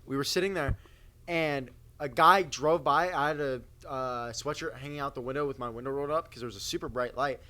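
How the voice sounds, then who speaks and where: A man's voice: medium pitch, fairly quick, bright, forceful tone. A young American man telling anecdotes casually among friends, in a slangy, boyish way, on a stick mic. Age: young adult. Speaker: podcast co-host. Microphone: stick microphone.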